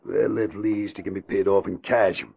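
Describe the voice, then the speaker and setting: A deep gravelly crooked boss, snappy and bossy, old muffled radio drama. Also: male voice, flat delivery, moderate pace. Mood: calculating. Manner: curt and bossy, flustered when threatened. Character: gruff, irritable corrupt boss taking a threatening call. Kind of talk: old-time radio crime drama acting. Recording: dull, faintly noisy vintage radio recording.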